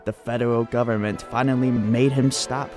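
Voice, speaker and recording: A man plays a small-town gossip in a radio play, with music clearly playing behind him. He talks in a folksy, amused, colloquial way at a middling pitch. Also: steady tone, normal speed, natural voice. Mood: amused, gossipy. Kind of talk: radio drama acting.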